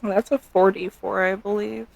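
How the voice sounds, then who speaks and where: A woman's voice: medium pitch, flat delivery, moderate pace, vocal fry, dry recording, low-quality recording. A woman with a Southern American accent running a tabletop game. She has a middling voice for a woman, and her talk is casual and easy. The room is quite dry. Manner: laughing, joking. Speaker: game master.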